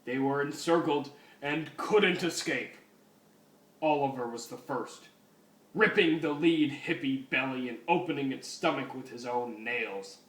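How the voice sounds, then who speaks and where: Slow, deliberate male story reader. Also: mid-range voice, a bit bright. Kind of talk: dramatic reading of fan fiction. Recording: roomy, distant mic, music behind.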